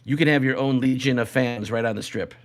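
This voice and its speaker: A man hosting a casual, comedic podcast, talking in a halting way with repeated and restarted phrases. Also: mid-range voice. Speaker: show host.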